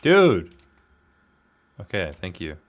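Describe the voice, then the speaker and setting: Deep-voiced guy gaming casually. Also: wildly swooping pitch, takes it slowly. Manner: self-deprecating, slangy, exclamatory. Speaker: gaming video host. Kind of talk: casual gameplay commentary, talking to himself.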